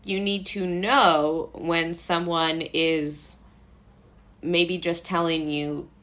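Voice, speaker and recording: Low-voiced young American woman, chatting casually. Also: fairly even intonation, very slow, a bit bright. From Brooklyn, New York, USA. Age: young adult. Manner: rambling, anecdotal, quoting imagined voices. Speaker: podcast guest, playwright and actor. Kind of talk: casual chat.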